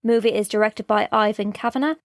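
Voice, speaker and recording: A woman's voice: medium pitch, steady tone, brisk, no echo, studio quality. An Irish woman co-hosting a podcast in casual film chat, teasing and matter-of-fact. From Ireland. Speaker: podcast co-host.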